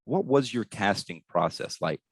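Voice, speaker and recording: A man's voice: fairly low pitch, animated, measured pace, breathy edge, no room sound, clean studio sound. A man hosting an interview, politely putting prepared questions to his guest. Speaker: interviewer, host.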